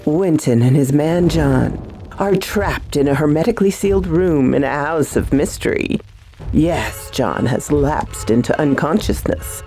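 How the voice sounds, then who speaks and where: A woman's voice: sits low, expressive, measured pace, mellow. A woman hosting and narrating a radio drama in a theatrical, teasing horror-host style. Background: music.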